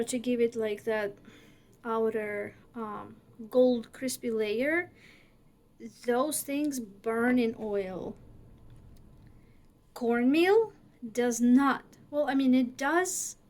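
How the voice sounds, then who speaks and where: A young woman hosting a casual cooking video, chatty and full of fillers, her voice raspy from wildfire smoke. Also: fairly high pitch, darkish tone, a little hiss or hum. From northern United States.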